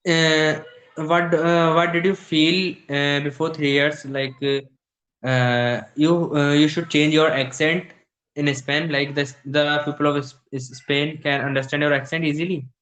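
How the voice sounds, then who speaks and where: A man's voice: fairly high pitch, fairly even intonation, a little slow, fairly bright tone, roomy sound, low-quality recording. A young adult man, not a native English speaker, chatting casually as a learner and searching for words. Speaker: English learner in online lesson. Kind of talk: casual chat, practising English.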